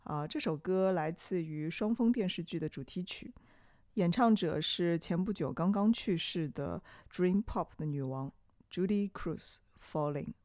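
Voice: mid-range voice, unhurried, darkish tone, dry recording. Podcast host, conversational film monologue, reflective, explanatory, dry close recording.

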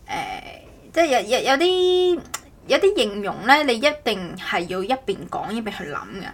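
A woman with a Cantonese accent hosting a casual podcast chat, speaking haltingly and often correcting herself. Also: fairly high pitch, very animated, a little hiss or hum. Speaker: podcast host. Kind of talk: casual chat about making an audio show.

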